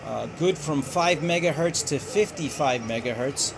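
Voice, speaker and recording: A man hosting a ham radio channel, giving a casual product review in a halting, hesitant way. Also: on the high side, creaky, background noise.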